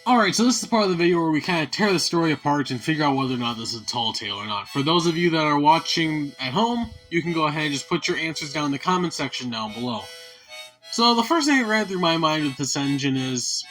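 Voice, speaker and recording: A man's voice: on the high side, animated, quick pace, a bit bright, poor audio. A man hosting an online video series with casual, folksy and emphatic commentary addressed straight to viewers, over guitar and harmonica music. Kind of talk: casual scripted-then-improvised YouTube commentary.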